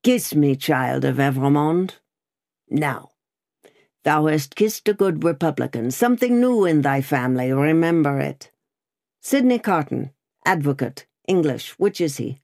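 A woman's voice: sits low, animated, a little slow, creaky, no room sound, clean studio sound, full character voice. A woman voicing a brusque male revolutionary gate officer who barks questions in a sneering way. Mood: mocking. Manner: loud, barking, sneering.

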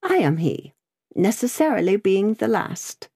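A woman voicing a composed old English banker who answers in a low, measured way. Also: big pitch swings, dark tone, husky, no room sound, studio quality, full character voice. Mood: subdued.

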